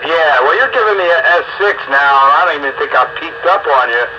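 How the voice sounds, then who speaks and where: Male, somewhat high pitch, casual radio net host, noisy two-way radio sound. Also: expressive, fast talker, dull audio.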